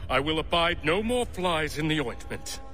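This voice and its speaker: An actor playing a haughty, scornful conspirator villain with pompous, florid speech in a video game. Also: male voice, fairly high pitch, lively intonation, moderate pace, bright, forceful tone, soft background music.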